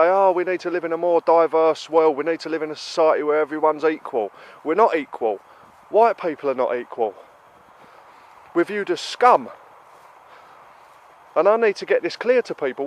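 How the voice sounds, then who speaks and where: British guy with a cold, bunged up nose, fairly high voice, ranting hard. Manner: heated, emphatic, repetitive rhetorical questions. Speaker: vlogger. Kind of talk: ranting monologue.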